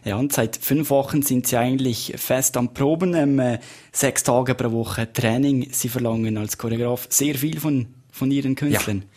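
Male radio host at middle pitch. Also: steady tone, normal speed, darkish tone. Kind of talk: radio interview.

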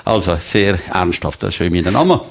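A man's voice: sits low, animated, fast talker. A man in his sixties with a Swiss German Valais accent, a guest in a studio interview who talks with many fillers and restarts. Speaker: guest, early retiree.

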